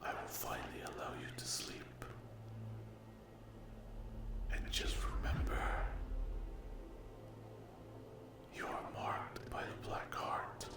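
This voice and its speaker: A man playing a menacing, hungry sleep paralysis demon in a whispered ASMR roleplay, breathy and deliberate, taunting the listener. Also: echoey room, lightly acted. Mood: possessive, commanding. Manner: very slow, deliberate, menacing, with long pauses.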